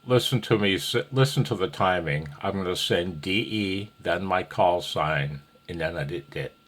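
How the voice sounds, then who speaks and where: A man's voice: low-pitched, normal speed, a bit bright, slight background noise. A man giving a casual, rambling amateur radio tutorial in a folksy, self-deprecating, slightly nervous way.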